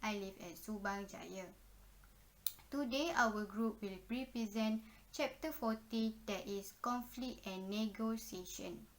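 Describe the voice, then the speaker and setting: Student presenter, woman in her twenties from Malaysia, mid-pitched, formal and measured. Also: a little hiss or hum. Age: 20s. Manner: formal, measured self-introduction. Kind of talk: class presentation.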